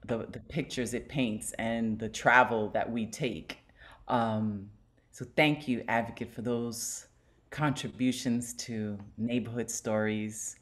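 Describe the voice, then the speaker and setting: A woman hosting an online open mic over a video call, effusive and excitable, laughing often. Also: extremely low pitch, slow pace.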